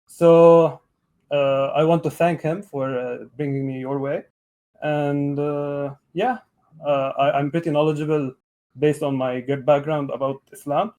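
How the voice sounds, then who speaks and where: A man of thirty-one from Lebanon, speaking conversationally as a guest in a debate call, often pausing mid-sentence. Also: a little high, fairly even intonation, a little mellow. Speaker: guest caller, atheist debater.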